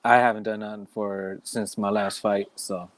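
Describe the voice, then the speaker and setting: A man's voice: fairly low pitch, little pitch movement, normal speed. A man in his thirties, a guest fighter in a casual interview, easygoing and polite with lots of "yes, sir". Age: 30s.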